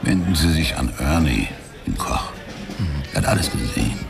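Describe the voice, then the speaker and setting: An actor playing a shady, chatty boxing fan who gives tips, gravelly, in a radio drama. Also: male voice, rumbling low, big pitch swings, normal speed, full character voice. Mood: sly, confiding. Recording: loud music behind the voice.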